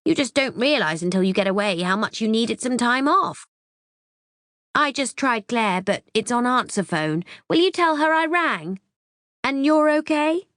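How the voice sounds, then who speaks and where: A bubbly older mother gushes down the line in a much higher, brighter voice, as a woman narrator puts her on. Her delivery is warm and excitable. The recording is dry and close. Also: a little slow, no echo. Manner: bubbly, gushing. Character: cheerful older British mother on holiday, calling long-distance.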